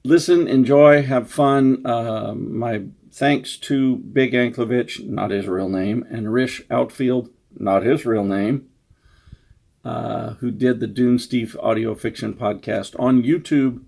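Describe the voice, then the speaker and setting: A man's voice: medium pitch, fairly even intonation, takes it slowly, vocal fry, a little mellow. A man giving a casual, unscripted introduction as an author, rambling and self-deprecating. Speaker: author introducing his story.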